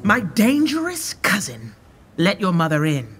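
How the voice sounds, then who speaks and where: An actress playing a commanding, mercurial monarch in a Shakespearean radio drama, with theatrical, authoritative delivery. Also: female voice, on the low side, wildly swooping pitch, measured pace, soft background music. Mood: grave, indignant.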